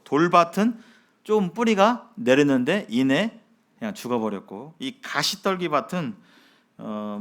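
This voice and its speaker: A man's voice: medium pitch, a bit bright. Man preaching with rhetorical questions. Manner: measured preaching with frequent fillers and rhetorical questions. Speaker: pastor. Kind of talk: sermon.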